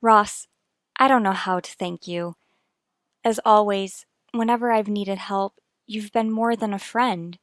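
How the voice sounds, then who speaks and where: A woman's voice: medium pitch, steady tone, a little slow, no room sound, studio quality, lightly acted. An actress playing an anxious, troubled mother in an old radio soap, speaking earnestly and formally. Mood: grateful, earnest. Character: anxious, troubled mother and wife, polite and guarded. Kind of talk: mid-century radio soap acting.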